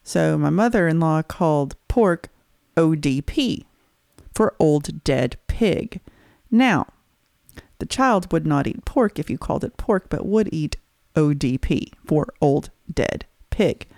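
Gravelly-voiced middle-aged woman, dry close sound. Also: low-pitched, animated, takes it slowly, a little mellow, dry recording. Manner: attentive listener, frequent short agreements, dry teasing. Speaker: podcast co-host. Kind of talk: casual chat.

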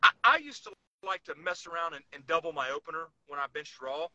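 A man's voice: fairly high pitch, lively intonation, fast, lo-fi sound, background noise. A man co-hosting a casual talk podcast, speaking in an emphatic, opinionated way and repeating himself to drive points home. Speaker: co-host, powerlifting coach.

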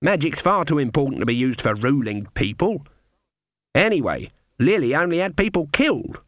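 A man's voice: fairly high pitch, big pitch swings, normal speed, fairly bright tone, dry recording, studio quality. Man voicing a stern, snappish old country witch. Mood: muttering, disapproving. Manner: muttering, snapping, rustic plain-spoken speech.

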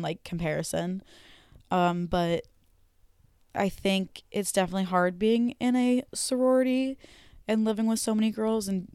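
A young woman hosting a casual solo podcast, rambling and frank with lots of ums and casual swearing, her voice a bit hoarse. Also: fairly low pitch, darkish tone, dry recording, studio quality. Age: young adult. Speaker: podcast host.

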